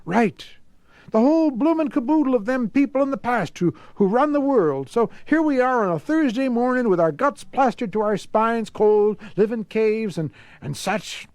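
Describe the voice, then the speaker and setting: A man acts out a gruff working man from a storybook's ruined future. He talks in a raised, emphatic pitch, with rough folksy phrasing and stumbling repeats, bitter about the world. The sound is close and dry. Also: animated, moderate pace, a little mellow, no room sound. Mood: bitter, explaining. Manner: rough colloquial talk with stammered repeats.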